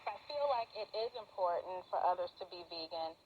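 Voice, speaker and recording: A woman's voice: on the low side, a little fast. Muffled woman on noisy shortwave radio. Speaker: interviewed vegan activist. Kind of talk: personal testimony. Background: radio static noise.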